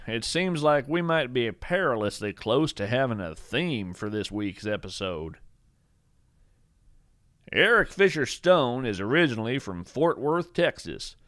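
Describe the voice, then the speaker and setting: A man playing an eccentric, earnest naturalist who hosts a scripted fiction podcast, speaking in a measured, theatrical, thoughtful way. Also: mid-range voice, fairly bright tone, dry recording, clean studio sound. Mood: warm, enthusiastic. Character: eccentric male naturalist host, musing, earnest, whimsical. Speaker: podcast host and narrator. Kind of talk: scripted fiction podcast narration with poetry readings.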